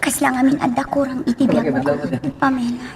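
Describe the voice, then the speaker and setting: A woman's voice: high voice, big pitch swings, fairly quick, a loud crowd. A woman acting a wistful teenage schoolgirl who is about to graduate, in a radio drama. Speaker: actor. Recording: very noisy radio drama recording.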